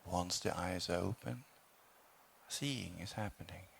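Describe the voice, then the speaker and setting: Low, creaky-voiced man guiding meditation. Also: a little hiss or hum. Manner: slow, measured, with long pauses. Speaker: meditation teacher. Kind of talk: guided meditation, calm instruction. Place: meditation hall.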